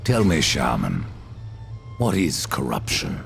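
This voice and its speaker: A man playing a grim, sardonic horseman of death in a dark fantasy game, with a gravelly rasp and a terse, menacing delivery. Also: rumbling low, huge pitch swings, slow, faint music behind, exaggerated voice. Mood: terse, probing.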